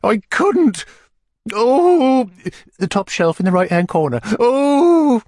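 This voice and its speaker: A man's voice: very high-pitched, sing-song, darkish tone, no echo, studio quality, voice pushed up, heavily acted. A man voicing a fluttery, whimpering widow in a storybook, simpering and drawing out the words. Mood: fluttering, overwrought. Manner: breathless, drawn-out, simpering. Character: fluttery, whimpering middle-class widow, a matron in distress. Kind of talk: dramatised audiobook character voice.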